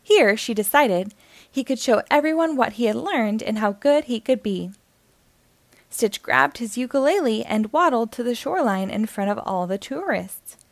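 A woman's voice: fairly high pitch, lively intonation, moderate pace, gravelly, dry recording. A woman reading a children's story aloud as scripted narration.

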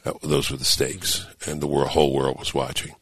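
An older man hosting a talk show, speaking thoughtfully with halting restarts that build to emphatic points. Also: a bass voice, level tone, gravelly, fairly bright tone, a little hiss or hum. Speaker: host and author. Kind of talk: casual radio talk show conversation.

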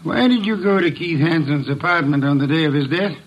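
Imperious, ponderous genius sleuth, somewhat high voice, pompous and curt, 1940s radio drama. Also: male voice, lively intonation, fairly quick, husky, muffled recording. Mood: curt, probing. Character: ponderous, imperious, lazy genius detective, huge man.

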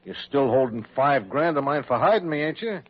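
A man's voice: medium pitch, expressive, a little fast, no room sound, close to an everyday voice. An actor playing a cocky, contemptuous male gangster with tough, slangy speech, in classic radio drama style. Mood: cocky, dismissive. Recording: muffled, noisy vintage radio recording.

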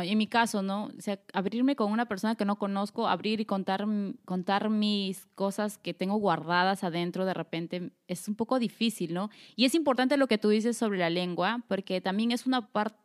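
A woman hosts a casual interview podcast at an ordinary medium pitch. She talks in a conversational way and keeps checking in with her guest through short question tags at the ends of her phrases. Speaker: podcast host.

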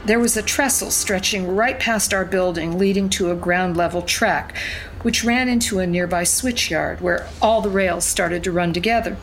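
Actress doing regretful young mom narrating a fiction podcast, reflective n measured, bit of background noise. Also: female voice, on the low side, lightly acted, poor audio. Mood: somber, reflective. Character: wistful, regretful wife and young mother recounting her past. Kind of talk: narrated audio fiction, confessional storytelling.

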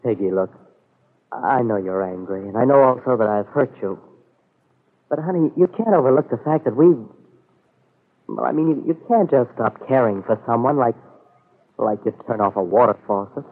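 A man's voice: mid-range voice, moderate pace, pauses often, close to an everyday voice. Man acting an earnest young soldier, old radio. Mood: earnest pleading. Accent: American. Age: young adult. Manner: hesitant, earnest, pleading. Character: earnest young soldier pleading with the girl he loves. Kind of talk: old-time radio soap opera acting. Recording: muffled vintage radio broadcast recording.

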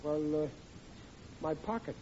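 A man's voice: fairly high pitch, slow pace. An actor in an old-time radio sitcom playing a hapless, self-pitying working-class family man who stammers and blusters in a flustered, plaintive way. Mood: sheepish. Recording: muffled, noisy vintage radio with audience.

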